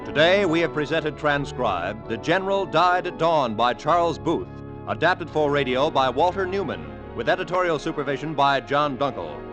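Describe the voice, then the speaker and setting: Animated higher-pitched male radio announcer. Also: normal speed. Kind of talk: 1940s radio announcing, scripted credits. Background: music.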